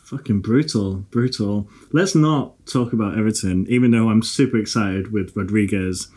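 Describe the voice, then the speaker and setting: A man's voice: lowish voice, normal speed, darkish tone. A man, co-hosting a casual podcast, rambling and swearing freely between laughs. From Liverpool, England. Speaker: podcast co-host. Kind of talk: casual banter.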